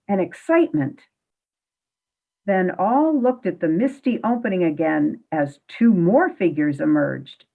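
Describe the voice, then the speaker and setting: A woman narrates a radio play in a lowish voice. She reads slowly and with wide swings of pitch, and the recording is thin and phone-like. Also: creaky.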